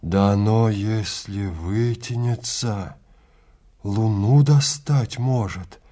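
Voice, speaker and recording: A man's voice: lowish voice, slow pace, mellow. A man voicing a panicked, stunned hunter who exclaims and pleads in a science fiction audio play. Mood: awestruck, hushed. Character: panicked male hunter client, stunned and faltering.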